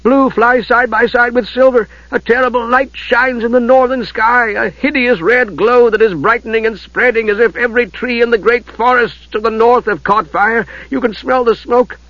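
Young squire, scared, breathless, urgent, raised pitch; narrator voices him. Lo-fi. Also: male voice, steady tone, normal speed, few pauses, dry recording. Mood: hushed. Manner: gasping, awestruck, urgent.

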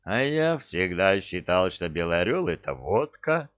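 A man's voice: deep voice, big pitch swings, moderate pace, dry recording. A man voicing a naive, exasperated young hero in a comic fantasy audiobook, grumbling sarcastically. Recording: dull lo-fi audio.

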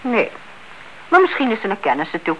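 Woman acting a lively, sceptical young niece. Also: medium pitch, brisk, natural voice. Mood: reluctant, dry. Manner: dry, teasing, sceptical. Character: sceptical, teasing niece, sensible and dismissive of gossip. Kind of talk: Dutch radio play acting. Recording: dull, noisy old radio-play recording.

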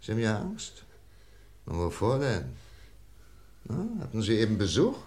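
An actor playing a calm, probing FBI inspector in a radio crime drama, matter-of-fact, patient and insistent. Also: male voice, on the low side, moderate pace.

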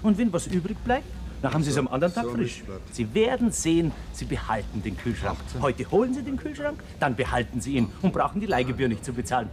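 An actor playing a chatty, persuasive married café guest who talks in an insistent, homely, repetitive way in a radio play. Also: male voice, a little high, wildly swooping pitch, quick pace. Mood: eager, persuasive. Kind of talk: radio drama small talk.

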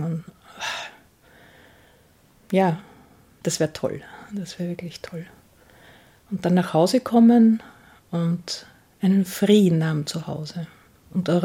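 A middle-aged Austrian woman speaks casually in an interview. Her voice is fairly low and husky, and she takes her time, pausing to think aloud as she goes. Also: warm tone. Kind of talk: casual, spontaneous interview answer.

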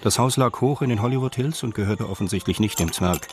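A man's voice: lowish voice, quick pace, music in the background. A man reading scripted narration as the narrator of a radio drama.

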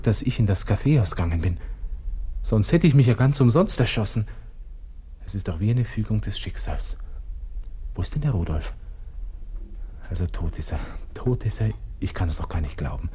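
An actor playing a vain, jittery young Austrian army lieutenant in a radio drama monologue, muttering excitedly to himself in a husky voice. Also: male voice, lowish voice.

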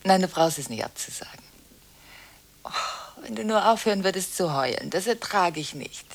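An actress playing a restless, contradictory woman on the phone late at night in a radio drama, halting and anxious, shifting between hushed and insistent. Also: lowish voice, animated, gravelly. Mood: soothing, weary. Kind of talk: radio monodrama acting, one side of phone conversations.

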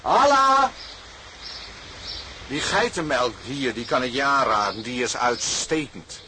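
An actor playing an older, thrifty sea captain in a radio drama, speaking in a measured, paternal way with hums and repeated murmurs. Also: male voice, mid-range voice, animated, background noise. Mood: hospitable, frugal.

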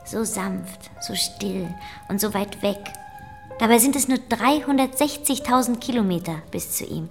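An actress playing a gentle, wistful lady of the house in a literary radio play, soft and composed. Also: medium pitch, unhurried, vocal fry, soft background music. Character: dreamy, gentle lady, wife of an ailing aristocrat.

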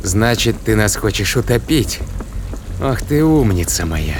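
A man playing a sardonic, impatient spaceship captain, gruff and muttering, in a sci-fi audio drama. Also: lowish voice, sing-song, a little slow, slight background noise. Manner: muttering to himself, sarcastic, gruff commands. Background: dramatic music and effects.

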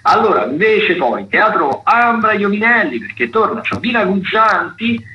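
A man's voice: high voice, very animated, normal speed, pushed and bright, highs cut off. A man giving conversational radio listings, hesitating and repeating words as he goes. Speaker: theatre critic, radio contributor.

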